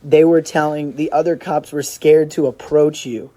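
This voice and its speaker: A caller talks casually on a recorded phone call. The voice is soft-edged and dark in tone, at a medium pitch. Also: fairly even intonation, moderate pace. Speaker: caller talking to an inmate.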